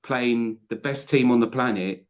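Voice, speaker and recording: A man, in the middle of a fan podcast chat. He talks at a mid pitch in long, heartfelt runs. He often stops and restarts his sentences as he builds his point. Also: measured pace. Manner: passionate, rambling, self-correcting restarts. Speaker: podcast co-host. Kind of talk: casual football podcast chat.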